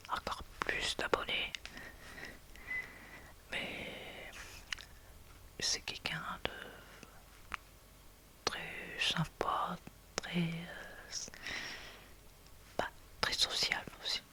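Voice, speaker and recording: An ASMR creator whispering casual chat in a hesitant, halting way, often searching for words. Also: medium pitch, monotone, sharp tone.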